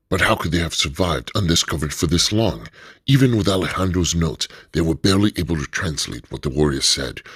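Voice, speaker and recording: A man narrating an adventure radio drama in a measured storytelling manner. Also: a bass voice, fairly bright tone, slightly rough, no echo.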